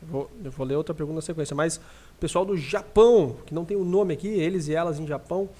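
Higher-pitched Brazilian man hosting a live podcast. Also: fast talker, dark tone. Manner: informal, addresses listeners directly. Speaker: podcast host reading audience questions. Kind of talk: casual live podcast chat.

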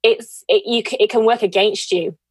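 A woman's voice: mid-range voice, fairly even intonation, normal speed, breathy edge, no room sound. A woman hosting a podcast in a casual, conversational way, effusive and encouraging. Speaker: podcast host.

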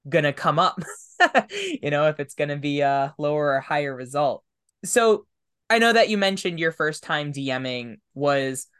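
A man's voice: a little high, bright, forceful tone, clear, resonant tone. A man hosting a podcast interview in a casual, conversational style.

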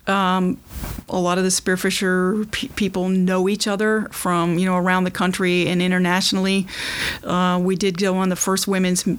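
A woman's voice: on the low side, level tone, normal speed, smooth. A middle-aged woman from Kentucky, a spearfisher, chatting casually in an interview and telling stories with lots of restarts. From Kentucky, USA. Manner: chatty, warm storytelling with frequent restarts.

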